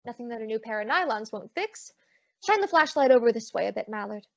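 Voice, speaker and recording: Wisecracking lady detective, played by a woman, highish voice, hard-boiled radio serial narration, dry close sound, slightly dull recording. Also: animated, fast, creaky, no echo. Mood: playful, curious. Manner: wry, slangy hard-boiled storytelling. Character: wisecracking female private detective narrating her own case. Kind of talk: old-time radio detective drama acting.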